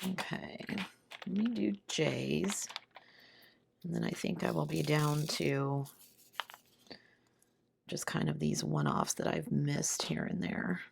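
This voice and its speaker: Low, gravelly woman with a sick, failing voice. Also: fairly even intonation, slow pace, long pauses, a little hiss or hum. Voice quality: hoarse, low voice. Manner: murmuring to herself while working. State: sick, voice giving out. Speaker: craft video host. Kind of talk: casual chat while doing a craft.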